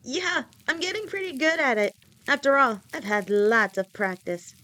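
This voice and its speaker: A woman's voice: sits high, lively intonation, moderate pace, bright, forceful tone. A woman voicing a doting adult female lamia girlfriend in a listener-directed audio roleplay, teasing with pet names and stammering when flustered. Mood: cheerful, doting. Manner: stammers and rambles when nervous. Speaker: voice actor.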